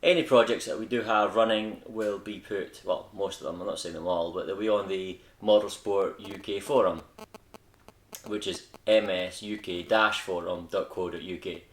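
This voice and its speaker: Young scottish guy with a low voice, chatty hobby vlogger, rambles and corrects himself, unscripted. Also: steady tone, moderate pace, rough recording. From Scotland. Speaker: hobby channel host. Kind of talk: casual unscripted vlog talk.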